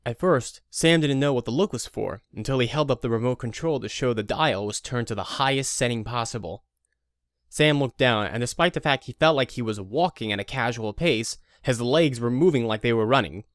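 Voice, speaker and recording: A man narrates an audio drama from a script. His voice sits at an ordinary male pitch, and the recording is very dry with no room sound. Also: fairly quick, no room sound.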